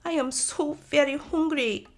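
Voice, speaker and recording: Storybook child: little hungry boy, played by a female narrator, high, plaintive, pleading. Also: measured pace. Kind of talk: storybook character voice.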